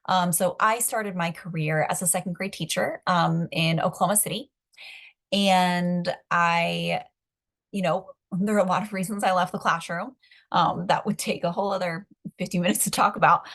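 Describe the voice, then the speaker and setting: A woman's voice: lowish voice, steady tone, normal speed, fairly bright tone. A young adult woman answering a webinar panel question in a casual, chatty way, with frequent fillers. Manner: chatty, many fillers and likes. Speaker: advocacy organizer, webinar panelist.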